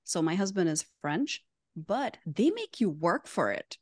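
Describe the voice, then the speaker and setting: A woman's voice: fairly low pitch, big pitch swings, unhurried, darkish tone, no echo, studio quality. Amused woman guest from Pakistan. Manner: amused, laughing often while explaining. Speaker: podcast guest. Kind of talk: casual interview chat.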